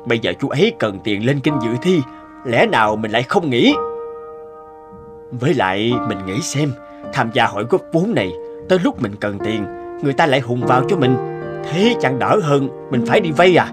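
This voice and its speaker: A man playing a kindly, hard-up married villager in a cartoon, reasoning gently. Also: medium pitch, sing-song, moderate pace, over music, lightly acted. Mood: pleading. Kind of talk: animated moral tale acting.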